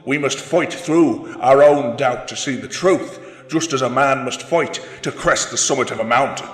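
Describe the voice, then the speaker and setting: A man delivers dramatic fantasy narration in a mid-pitched, bright voice. His phrasing is solemn and deliberate, and the room around him echoes. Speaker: voice actor and narrator.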